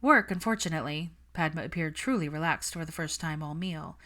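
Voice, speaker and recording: A woman's voice: low-pitched, flat delivery, a little fast, fairly bright tone. A woman reading a story aloud as scripted narration, in the manner of an audiobook. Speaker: fan fiction audiobook narrator.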